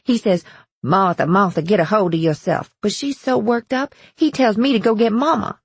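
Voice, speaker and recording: A woman's voice: medium pitch, normal speed, slightly rough, no room sound, pitched above the performer's own voice. A woman voicing a worn-down but determined young woman in an audiobook, speaking in dialect with urgency. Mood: upset, urgent. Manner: urgent, dialect speech with simple present tense. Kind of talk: audiobook character voice.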